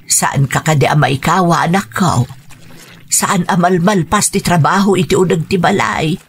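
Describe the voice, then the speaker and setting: A woman's voice: on the low side, normal speed, creaky, a little hiss or hum. A woman playing a caring, concerned elderly mother and grandmother in a radio drama, sighing and offering advice. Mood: gently concerned. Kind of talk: Ilocano radio drama acting.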